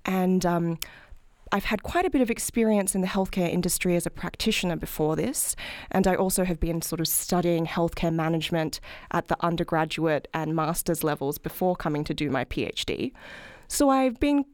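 Articulate Australian woman researcher, low-ish. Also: normal speed, darkish tone, no echo, studio quality. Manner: articulate, explanatory, frequent "sort of". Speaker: guest, healthcare management researcher. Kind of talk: interview answers.

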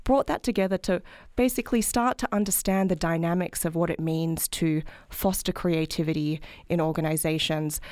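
A woman's voice: fairly low pitch, darkish tone, no echo, clean studio sound. A woman in her thirties with an Australian accent, explaining her research as an interview guest. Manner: articulate, explanatory, frequent "sort of". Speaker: guest, healthcare management researcher. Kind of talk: interview answers.

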